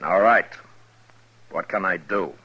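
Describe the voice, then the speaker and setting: Very deep husky voice, laconic American western lawman, forceful, muffled vintage radio drama. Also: slow pace, heavily acted. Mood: conciliatory. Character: gruff, fair-minded frontier marshal in an old West town. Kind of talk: 1950s radio western acting.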